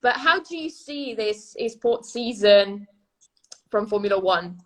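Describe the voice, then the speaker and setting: A woman's voice: medium pitch, measured pace, poor audio. Italian-native woman hosting a live chat. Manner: laughs often, lively and friendly. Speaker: host and chief editor. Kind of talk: casual live-stream chat. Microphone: video call.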